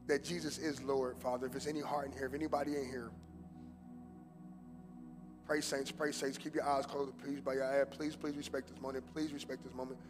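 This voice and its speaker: A man preaching a sermon as a pastor, mixing casual slang with fervent preaching that swings between loud urgency and hushed prayer. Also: mid-range voice, flat delivery, brisk, long reverb tail, over music. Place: church sanctuary.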